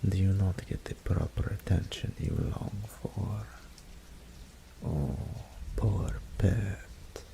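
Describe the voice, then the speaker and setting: A male voice actor playing a seductive, smug male greater incubus in an audio roleplay drama, with breathy whispers and a slow, purring, condescending manner. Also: deep voice, background noise, heavily acted. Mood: seductive, teasing.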